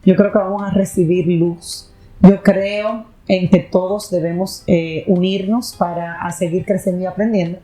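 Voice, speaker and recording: A woman's voice: lowish voice, fairly even intonation, moderate pace, warm tone, rough recording. A woman hosting a talk, speaking conversationally and often restarting and correcting herself mid-sentence. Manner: rambling, self-correcting, restarts with fillers. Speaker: host.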